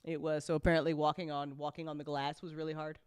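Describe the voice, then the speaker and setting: A woman's voice: deep voice, little pitch movement, quick pace, clean tone, no room sound, clean studio sound. A woman hosting a casual live chat as a show creator, talking in a rambling, giggly, informal way.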